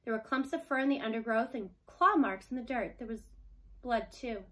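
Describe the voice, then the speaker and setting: Higher-pitched woman reading a children's book. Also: lively intonation, moderate pace. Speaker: storybook reader. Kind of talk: children's book read aloud, scripted narration.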